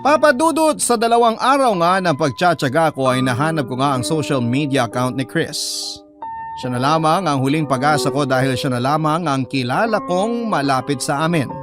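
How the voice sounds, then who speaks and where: A man's voice: on the high side, lively intonation, normal speed, close to an everyday voice. A man with a Filipino accent playing a troubled seafarer son who narrates his story earnestly for a radio drama. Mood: anxious, confiding. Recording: music bed behind the voice.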